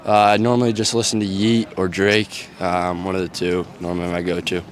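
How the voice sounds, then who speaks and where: Laid-back young athlete, low voice. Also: male voice, little pitch movement, a little fast. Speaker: lacrosse player. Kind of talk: casual interview answers.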